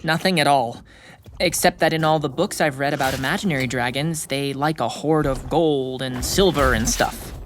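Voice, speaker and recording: A woman's voice: rumbling low, moderate pace, slight background noise. A woman acting the part of an anxious, protective schoolkid with a secret pet in an audio drama, speaking hesitantly. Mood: earnest, sheepish. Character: Nico, earnest anxious schoolkid hiding a pet baby dragon. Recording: music bed behind the voice.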